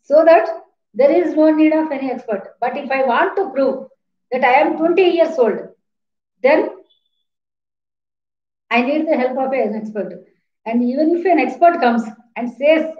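High-pitched Indian woman lecturer, echoey room. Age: 50s. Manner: didactic, repeats points, poses questions. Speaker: law lecturer teaching an online class. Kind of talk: informal lecture with questions to students. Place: small plain room indoors. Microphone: webcam or laptop mic at a distance. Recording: roomy, echoing, dull laptop-mic sound.

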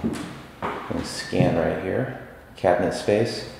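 Deep-voiced man touring an echoey empty flat. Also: gravelly. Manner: halting, with frequent uh fillers and restarts. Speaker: rental agent giving a walkthrough. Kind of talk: unscripted property tour narration. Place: empty apartment, indoors, walking room to room. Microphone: camera mic on a handheld camera. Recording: echoey empty rooms with background noise.

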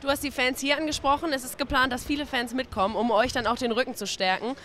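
A woman's voice: high voice, fairly even intonation, quick pace, a bit bright. A young woman holding a handheld mic, upbeat and friendly as she interviews players and the coach after a game in an indoor arena. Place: indoor arena, in front of a sponsor wall.

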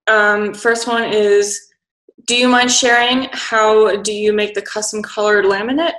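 A woman's voice: mid-range voice, fairly even intonation, unhurried, fairly bright tone, reverberant, rough recording. A woman moderating a webinar who politely reads chat questions to the guest.

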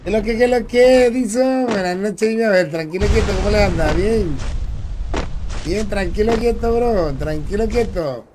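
A man from the Dominican Republic with a Dominican accent, chatting casually while he streams a game, upbeat, greeting viewers by name and repeating his phrases in quick bursts. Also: high-pitched, noisy background. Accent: Dominican Spanish. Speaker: gaming streamer. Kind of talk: casual live-stream gameplay chat.

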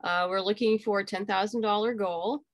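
A middle-aged woman answers as a guest on a video call. Her pitch is average for a woman. She explains in a casual, halting way, as if thinking aloud. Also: steady tone. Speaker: interview guest, audio drama creator. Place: small room at home.

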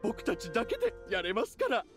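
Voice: medium pitch, wildly swooping pitch, fairly quick, music in the background. An actor voicing a fussy, polite young origami squirrel worker in a fantasy game. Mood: polite, flustered. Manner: polite to guests, exasperated with mate. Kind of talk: animated game voice acting.

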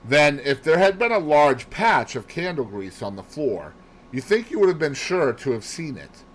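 A man's voice: fairly high pitch, very animated, a little hiss or hum, voice pushed up. Man voicing a fussy, lilting detective. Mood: methodical questioning. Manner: precise, deliberate, peppered with French phrases. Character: fussy, placid Belgian male detective, growing old. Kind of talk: audiobook character dialogue.

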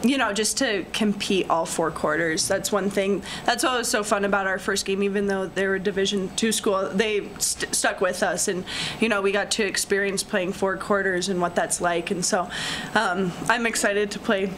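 A woman's voice: medium pitch, fairly even intonation, normal speed, fairly bright tone, slight background noise, poor audio. A young woman, a college basketball player, answering press conference questions in a chatty, upbeat way with many fillers, at a desk mic in a press room. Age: young adult. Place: press room in front of a sponsor backdrop. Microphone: desk microphone.

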